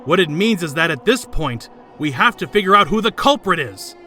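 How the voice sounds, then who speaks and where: A man's voice: sits high, expressive, normal speed, sharp tone, faint music behind, heavily acted. A man voicing an arrogant, coldly logical elite student with haughty, clipped delivery in an anime fandub. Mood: cold, matter-of-fact.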